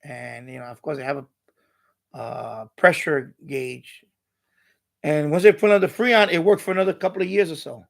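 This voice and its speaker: A man hosting a casual live stream, rambling and repeating himself in halting, unscripted sentences. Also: fairly high pitch, gravelly. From New York City, USA.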